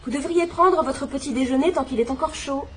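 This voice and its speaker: An actress playing a fussy, patronizing live-in companion in a dubbed drama, with a gossipy, condescending manner. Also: female voice, sits high, a little fast, a little hiss or hum, lightly acted. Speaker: companion and housekeeper.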